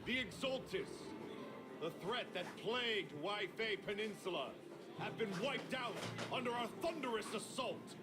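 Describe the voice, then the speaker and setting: A man voicing a bombastic military announcer rallying troops in a video game, declaiming in a booming way. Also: animated, normal speed. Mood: triumphant. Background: loud game music.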